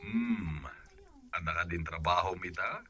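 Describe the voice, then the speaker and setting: A man's voice: low-pitched, unhurried, bright, forceful tone, husky, exaggerated voice. A man with an Ilocano accent playing a genial, decisive bar owner in a radio drama. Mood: friendly, business-like.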